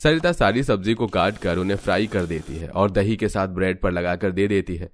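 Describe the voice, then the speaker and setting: A man's voice: low-pitched, a little fast. A man narrating a scripted cartoon family story.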